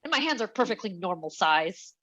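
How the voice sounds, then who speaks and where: A woman voicing a flustered, indignant sixty-five-year-old man in an animated comedy table read. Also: mid-range voice, brisk, highs cut off. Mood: indignant, panicked. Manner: indignant, protesting.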